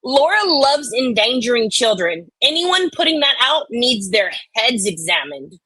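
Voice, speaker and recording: A woman's voice: fairly high pitch, sing-song, measured pace, bright, forceful tone, slightly rough, no room sound, poor audio. A woman co-host doing irreverent, sweary dramatic readings of online comments mixed with banter.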